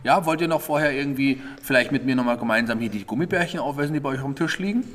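A man's voice: medium pitch, very fast, a bit bright. A man playing along as host of an improvised audio play with children, asking short, encouraging questions. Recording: long hall reverb.

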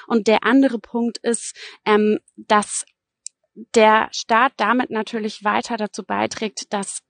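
A woman's voice: fairly high pitch, level tone, takes it slowly, vocal fry, no room sound. A woman from Germany, a journalist giving conversational, explanatory commentary in an interview.

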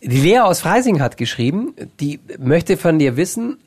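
Higher-voiced male radio host. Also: big pitch swings, moderate pace, a little mellow, husky. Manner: chatty, informal, addresses guest familiarly. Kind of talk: casual conversational radio interview.

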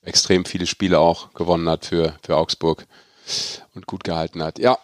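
A man's voice: sits low, flat delivery, moderate pace, no echo, studio quality. A man hosting a sports podcast in an informal, bantering, chatty way. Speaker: podcast host. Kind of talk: casual sports podcast chat.

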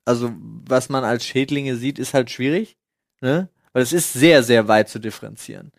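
A man's voice: mid-range voice, moderate pace, no echo, clean studio sound. A man in a casual podcast chat, explaining things in a hesitant way. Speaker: podcast host.

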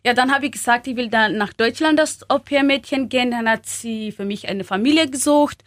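Young woman from Kenya, non-native German, hesitant, many fillers, fairly high voice, dry sound. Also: fairly bright tone, dry recording. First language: not German. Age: young adult. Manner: halting, many fillers and grammar slips. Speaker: guest. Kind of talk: interview talk, German as a second language.

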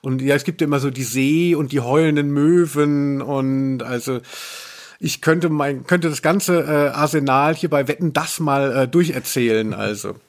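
Mid-pitched man, halting podcast talker. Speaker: podcast host, culture journalist. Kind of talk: casual podcast discussion.

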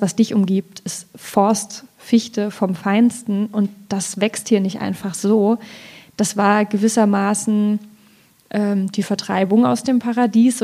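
A woman from Thuringia in Germany speaking as a poet in a literary discussion, thoughtful and halting as she searches for her words. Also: medium pitch, warm tone.

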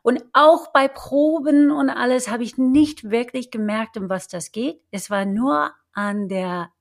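A middle-aged woman with an American accent and English as her first language, speaking German haltingly with many fillers and restarts as she tells her life story in an interview. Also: fairly high pitch. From United States. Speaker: guest, actress. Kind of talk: casual interview, personal story.

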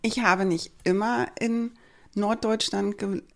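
A woman in her forties from Germany, a podcast host calmly introducing herself with fillers and repeated words. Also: medium pitch, slow, creaky. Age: 40s. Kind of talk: personal introduction monologue.

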